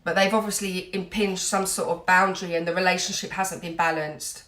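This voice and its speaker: A woman's voice: fairly low pitch, steady tone, a little fast, fairly bright tone, reverberant. A woman giving an earnest, reassuring tarot reading while full of cold, dotting her talk with "you know". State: has a cold, a bit snotty. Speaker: tarot reader.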